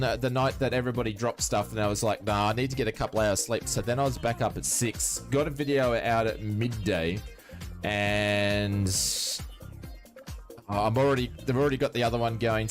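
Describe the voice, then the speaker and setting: An Australian man sounding nasal from hay fever, chatting casually and rambling through a flight sim livestream, answering chat as he goes. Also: medium pitch.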